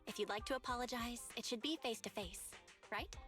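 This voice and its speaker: Girl doing a high bouncy friendly game heroine, level-headed and casual, faint music under. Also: brisk, fairly bright tone, natural voice. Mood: reassuring. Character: friendly, level-headed, upbeat young female mediator. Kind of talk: video game voice acting.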